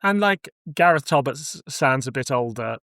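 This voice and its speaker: A man's voice: medium pitch, expressive, normal speed, a bit bright, dry recording, clean studio sound. A man hosting a podcast, chatting casually through a review and thinking aloud as he hedges his points. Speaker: podcast host.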